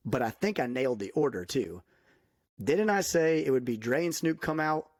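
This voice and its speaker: A man giving his opinions in a casual podcast chat, animated, laughing often and saying "dude" a lot. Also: mid-range voice, no room sound, clean studio sound. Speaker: podcast guest.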